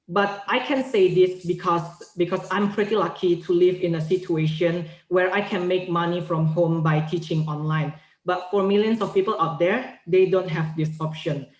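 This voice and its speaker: A young woman giving formal, persuasive speech as a competition finalist, earnest and structured. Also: on the low side. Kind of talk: formal persuasive public speaking. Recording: echoey room sound.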